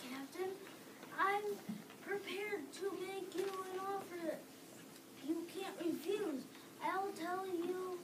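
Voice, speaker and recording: Woman as slick salesman for reader's theater: very high voice, deliberate halting pitch, recorded distant and echoey. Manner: slow, halting, word-by-word delivery. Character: slick, boastful male city salesman pitching his machine. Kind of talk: reader's theater script reading. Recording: distant mic, echoey room, clear background noise.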